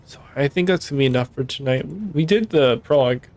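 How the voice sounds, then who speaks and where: A young man streaming a game, hoarse and tired, rambling casually as he thinks aloud. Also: medium pitch, animated, a little fast, a little mellow. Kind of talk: casual live-stream commentary.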